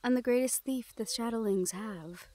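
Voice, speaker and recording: A woman playing a teasing, protective shadow-folk thief in an intimate fantasy audio roleplay, speaking softly and often dropping toward a murmur. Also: fairly high pitch, normal speed, dry recording, lightly acted. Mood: playful, confident.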